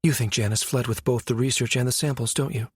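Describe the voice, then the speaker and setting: A man voicing a serious, quietly urgent woman scientist with a measured, firm manner in a science fiction audiobook. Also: medium pitch, fairly even intonation, breathy edge, dry recording, clean studio sound, close to an everyday voice. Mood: uneasy.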